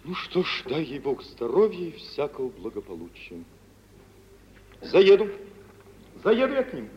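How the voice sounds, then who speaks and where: Casting: imperious high-voiced gentleman reveller, expansive and declamatory, for an old radio drama with dulled sound. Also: wildly swooping pitch, a little slow, slight background noise. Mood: musing, nonchalant. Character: carefree, imperious gentleman, a dashing spendthrift reveller. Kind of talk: mid-century theatrical radio drama acting. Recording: dull old 1940s-50s radio recording.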